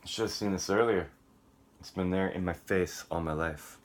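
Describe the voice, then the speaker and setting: A man's voice: low voice, normal speed. A man on a rambling solo vlog rant, profane and combative, swinging between muttering and outbursts. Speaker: vlogger.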